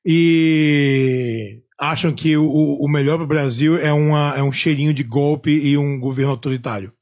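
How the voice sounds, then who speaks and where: A man from Salvador, chatting on a podcast about films and ranting in a lively, slangy, profane way. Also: fairly high pitch, measured pace. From Salvador, Bahia, Brazil. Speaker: film critic, podcast panelist. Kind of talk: casual podcast chat.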